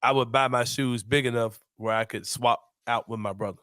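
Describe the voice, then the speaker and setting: A man in his forties from Memphis, hosting a sports podcast in a relaxed, slangy, laughing way. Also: medium pitch, brisk, clean tone, no echo, studio quality. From Memphis, USA. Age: 40s. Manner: laid-back, slangy, laughs often. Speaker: podcast host. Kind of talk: casual sports podcast chat.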